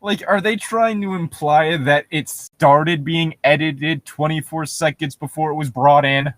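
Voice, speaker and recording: A man's voice: fairly high pitch, fairly even intonation, measured pace, fairly bright tone, no room sound. A man giving casual comedic commentary and reading passages aloud, sounding sarcastic and incredulous and swearing casually. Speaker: co-host reading and riffing on internet horror stories.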